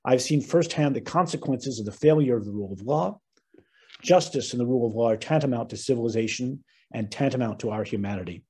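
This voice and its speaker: Man, American accent, middle-aged, webinar host, formal and measured, dark husky voice, video call. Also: mid-range voice. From United States. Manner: measured, earnest, occasional ums and restarts. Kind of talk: formal opening remarks.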